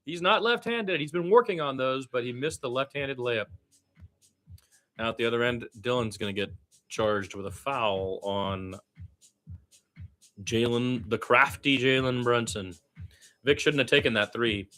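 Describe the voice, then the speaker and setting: Forceful, bright-voiced male basketball play-by-play announcer. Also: a little slow, long pauses. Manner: blunt, opinionated, repeats names and phrases for emphasis; animated, swinging from low asides to loud high calls. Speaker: radio play-by-play announcer for the home team. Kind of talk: excited partisan basketball play-by-play commentary.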